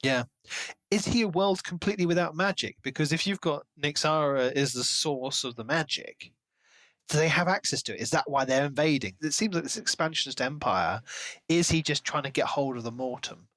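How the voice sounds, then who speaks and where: A man co-hosting a casual book discussion, speculating in an expressive, wordy way. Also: on the high side, brisk, no room sound. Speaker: podcast co-host discussing a novel. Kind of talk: casual book-club chat.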